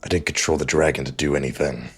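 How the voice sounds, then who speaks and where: A man voicing a gruff, battle-weary, protective mentor in an audiobook, with a growling, blunt delivery and a gravelly rasp. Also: a bass voice, steady tone, quick pace. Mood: blunt, grudging. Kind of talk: audiobook character dialogue.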